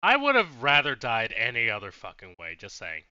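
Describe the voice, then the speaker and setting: A man's voice: medium pitch, huge pitch swings, brisk, pushed and bright, clear, resonant tone, dry recording, highs cut off. A man streaming a let's play, giving casual, irreverent commentary with plenty of swearing. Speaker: game streamer. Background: faint music.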